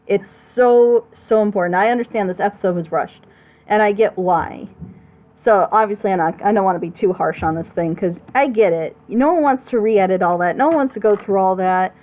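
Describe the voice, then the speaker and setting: A woman's voice: medium pitch, brisk. Emphatic woman chatting on a muffled recording. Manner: emphatic, rambling. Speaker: host of a reaction show. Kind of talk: casual chat, opinionated commentary.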